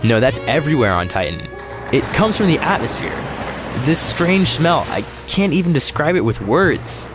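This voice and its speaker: A man playing an easygoing, puzzled space traveler in a sci-fi comedy audio drama, talking in halting, understated questions. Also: a little high, very animated, music in the background. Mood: pondering.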